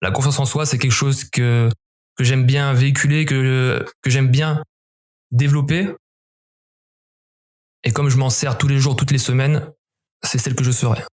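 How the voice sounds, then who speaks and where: A man's voice: medium pitch, little pitch movement, a little mellow. Reflective French man giving interview answers. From southern France, near Montpellier. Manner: measured, reflective, with frequent restarts. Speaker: guest, former special forces member.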